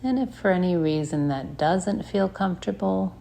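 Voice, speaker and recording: A woman guides a meditation in a low, mellow voice with a gravelly edge. She speaks slowly and gently, leaving long pauses between phrases, over a faint background hiss. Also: animated. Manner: slow, soft, gentle guidance with long pauses. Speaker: meditation teacher.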